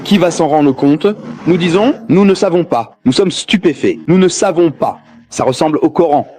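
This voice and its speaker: Male lecturer, slightly high voice, rhetorical and joking with the crowd, noisy recording with background hum. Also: moderate pace, dark tone. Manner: rhetorical, questions the audience, jokes. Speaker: religious debate lecturer. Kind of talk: dubbed public lecture.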